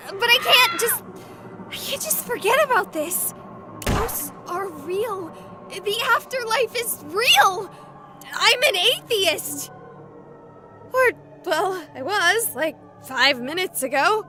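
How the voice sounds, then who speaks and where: High-pitched, excitable young streamer girl. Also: takes it slowly, over music, full character voice. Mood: shaken. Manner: expressive, rambling, wisecracking to an unseen audience. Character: excitable young woman streamer exploring a haunted hospital, jokey, rattled. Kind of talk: video game voice acting.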